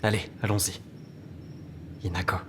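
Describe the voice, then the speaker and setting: A man playing a calm, protective and mysterious figure in a French video game dub, composed and courteous. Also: sits low, normal speed, lightly acted. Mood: calm concern. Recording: noisy ambience behind the voice.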